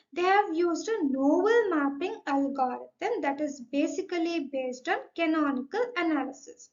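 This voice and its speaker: A young woman gives a formal, scripted academic presentation, explaining point by point as a student presenter. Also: sits high. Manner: formal, explanatory, starts sentences with "so". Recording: dull, muffled lo-fi audio.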